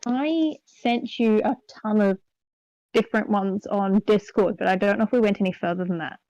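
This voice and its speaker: A young woman from New Zealand with an accent softened by years in Australia, chatting calmly with a dry, teasing manner. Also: mid-range voice, normal speed, lo-fi sound. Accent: Australian-tinged New Zealand. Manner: dry teasing, calm, coaching. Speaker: co-host. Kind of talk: casual worldbuilding chat.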